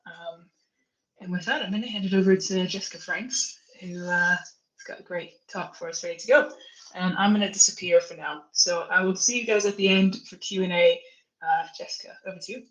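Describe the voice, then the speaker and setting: A woman's voice: on the low side, a little fast, a bit bright, echoey room, highs cut off. A woman hosting an online session in a casual, hesitant, chatty way, giving an informal introduction over a video call. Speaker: webinar host.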